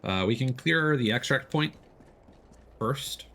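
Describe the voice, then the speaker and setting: A man's voice: medium pitch, expressive, slow, sharp tone. A man streaming a video game, giving casual live commentary and explaining tactics step by step as he plays. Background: video game sound.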